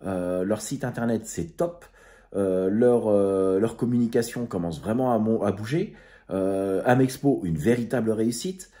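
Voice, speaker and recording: A man with a fairly low, very mellow voice talks off the cuff as a video host. He feels his way through his sentences, often hesitating, and keeps it informal and chummy, like talking to mates. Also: expressive, unhurried. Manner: hesitant, groping for words. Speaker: amateur radio video host. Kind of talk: casual unscripted recap talk to followers.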